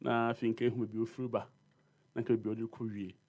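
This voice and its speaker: A man's voice: lowish voice, flat delivery, fast talker, a little mellow. Insistent Ghanaian man arguing prices. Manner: argumentative, insistent, mixing English and Twi. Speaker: panel guest. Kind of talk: heated political debate. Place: radio studio.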